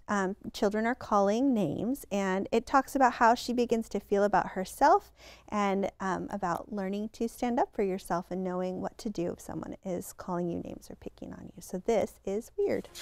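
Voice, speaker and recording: A middle-aged woman hosting a bedtime read-aloud, speaking deliberately and expressively as she would to young children. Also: mid-range voice, dry recording. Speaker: host reading bedtime stories to children. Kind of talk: picture-book read-aloud and simple explanation for children. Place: living-room style set, indoors.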